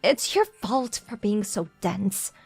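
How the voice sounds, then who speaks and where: A woman's voice: medium pitch, lively intonation, slow, vocal fry, a little mellow, breathy edge, faint music behind, full character voice. A young woman playing a confident, pushy female CEO who teases her junior in a manga dub romance. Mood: playful, fond. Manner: straightforward, teasing, self-assured.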